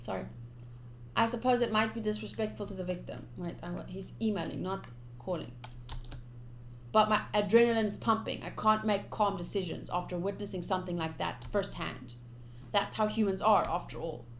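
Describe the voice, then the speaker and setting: A woman's voice: medium pitch, fairly even intonation, normal speed, slight background noise. A woman streamer reading visual novel text aloud, with casual commentary of her own. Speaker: game streamer. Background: game music.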